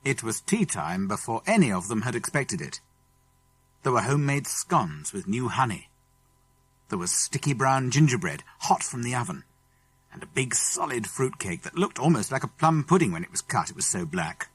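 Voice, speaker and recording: A man's voice: mid-range voice, sing-song, normal speed, slightly rough. A man with a British accent reading a children's story as an audiobook narrator.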